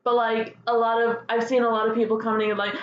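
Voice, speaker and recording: A woman hosting a fan news show solo, chatting casually with gushing, excitable enthusiasm. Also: a little high, fast talker. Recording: dull lo-fi sound, roomy echo, faint noise.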